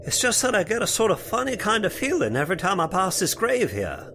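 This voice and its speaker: A man's voice: sits high, wildly swooping pitch, a little fast, creaky, fairly bright tone, slight background noise, exaggerated voice. A man playing a folksy, spooked cemetery caretaker in old-time radio horror style, with rustic turns of phrase. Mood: ominous. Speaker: voice actor.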